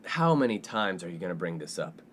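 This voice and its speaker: A man's voice: deep voice, big pitch swings, fairly quick. A glib young college playboy played by an actor in a fifties-set radio comedy. His delivery is breezy and self-important, swinging freely up and down, with a little noise behind it. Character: brash, self-absorbed, smooth-talking college playboy best friend. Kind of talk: comedic 1950s-set radio play acting. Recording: faint background noise.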